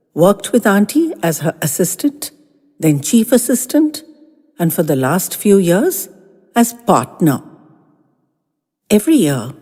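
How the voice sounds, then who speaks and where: A woman narrates a short story with a slow, measured delivery and a wide, swooping range of pitch. She phrases it in a literary, dramatic way, pausing between fragments. Her voice rings with long reverb over music. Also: husky. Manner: expressive, measured, literary delivery with deliberate pauses. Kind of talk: dramatic first-person audio fiction reading.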